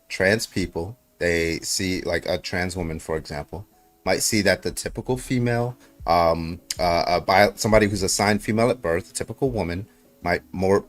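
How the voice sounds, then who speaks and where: A man in a heated online debate, pressing his opponent with repeated questions and rhetorical tags. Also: low voice.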